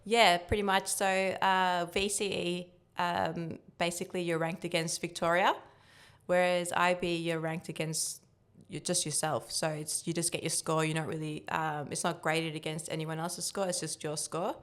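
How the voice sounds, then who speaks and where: A young woman with Turkish as her first language answers interview questions in a relaxed, chatty way. Her voice sits fairly low for a woman and sounds smooth and clear. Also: normal speed. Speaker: guest, building cost estimator. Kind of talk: casual podcast interview.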